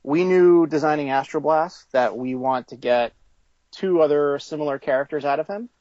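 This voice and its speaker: A man's voice: fairly high pitch, normal speed, darkish tone, no echo. Man in a slightly muffled interview recording. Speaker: toy designer being interviewed. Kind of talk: informal interview answers.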